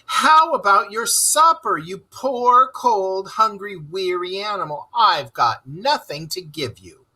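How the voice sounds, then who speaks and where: Woeful storybook mole, put on by a reader, plaintive and moaning, husky edge, lifted swooping pitch. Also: animated, takes it slowly, bright, forceful tone, full character voice, rough recording.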